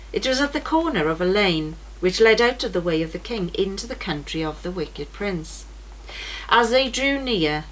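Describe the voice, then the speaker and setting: A woman's voice: mid-range voice, a bit bright, poor audio. A woman reading a scripted children's story aloud, now and then stumbling over words and rereading phrases. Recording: noticeable background noise.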